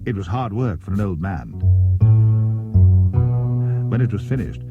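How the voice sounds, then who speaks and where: A man's voice: low voice, lively intonation, unhurried, gravelly. A man narrating a scripted children's story expressively as an audiobook reader. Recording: dull cassette tape transfer. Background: music.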